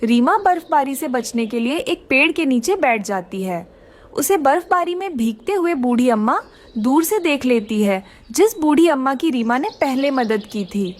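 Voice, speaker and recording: A woman's voice: high voice, animated, normal speed. A woman giving scripted narration for a children's cartoon story.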